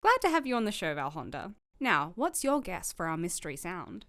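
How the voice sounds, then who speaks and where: A woman's voice: lowish voice, big pitch swings, a little fast, fairly bright tone, no echo. A woman playing a friendly radio co-host and Earth enthusiast in a science fiction audio drama. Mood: upbeat.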